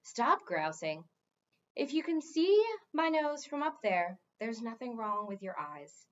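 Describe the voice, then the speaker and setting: A woman's voice: fairly high pitch, sing-song, slow, lo-fi sound. A woman voicing a blunt, informal king in a storybook, plain-spoken, wry and impatient. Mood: cheerfully dismissive.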